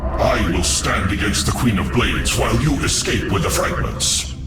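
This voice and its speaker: A noble alien warrior acted by a man declaims proudly and formally in a video game. His low voice rises and falls dramatically in heavy echo, under loud music. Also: expressive, normal speed, lightly acted. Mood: noble resolve. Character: noble, zealous alien templar warrior, male.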